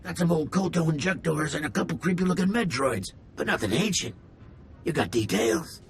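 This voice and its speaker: A man voicing an excitable, cheeky, slangy alien hacker in a game cutscene. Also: on the high side, moderate pace, a little hiss or hum, natural voice. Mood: sly, interested. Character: excitable, jokey alien tech-junkie criminal hacker.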